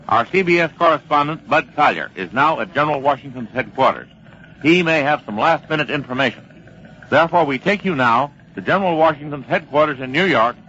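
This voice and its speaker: A bright, forceful American male newsman announces formally in old radio style. He sounds serious and brisk. The recording is muffled with faint noise. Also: mid-range voice. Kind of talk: 1940s radio news commentary, dramatized. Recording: noisy, dull vintage radio recording.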